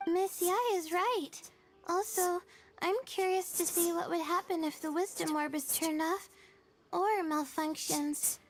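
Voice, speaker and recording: A woman's voice: very high voice, steady tone, measured pace. A woman playing an earnest, sincere young girl with a girlish voice and hesitant manner in an anime fantasy game. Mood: curious, earnest.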